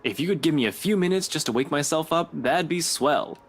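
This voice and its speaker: A man's voice: a little high, animated, brisk, faint music behind. An actor playing a hot-headed young male police detective in a game, defiant and indignant. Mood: groggy, polite. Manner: indignant, pleading. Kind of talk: visual novel voice acting.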